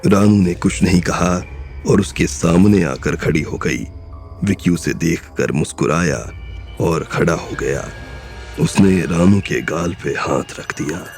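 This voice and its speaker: A man with a very low, gravelly voice narrates a crime drama at a slow, measured pace. His delivery is steady and even throughout. Faint background music runs underneath. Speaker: audiobook narrator.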